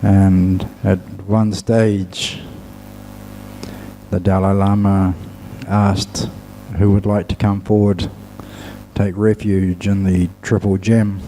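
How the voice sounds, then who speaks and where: Low, slow, measured male Buddhist teacher. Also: level tone, warm tone, a little hiss or hum, low-quality recording. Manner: measured, halting delivery with long pauses. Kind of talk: dhamma talk to an audience.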